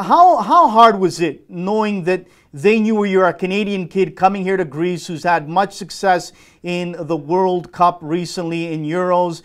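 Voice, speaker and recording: A man hosting a sports talk show, asking long, emphatic questions of his guest. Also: high-pitched. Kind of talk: enthusiastic interview questions.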